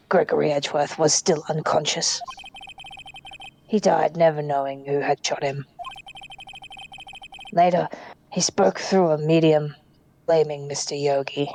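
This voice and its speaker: A woman's voice: sits low, steady tone, creaky, a little mellow, a little hiss or hum, low-quality recording. A woman voicing an arrogant, vengeful male prosecutor villain in a theatrical, menacing fan dub of a courtroom game. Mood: brooding, gloating. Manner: theatrical, menacing, deliberate delivery.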